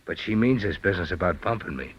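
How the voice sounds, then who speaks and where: An actor in an old-time radio detective drama playing a shifty, smooth-talking fake mind-reader and blackmailer with a husky voice. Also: male voice, deep voice, lively intonation, quick pace. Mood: uneasy, confiding. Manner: formal, oily phrasing that slips into slang. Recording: dull, lo-fi old radio recording.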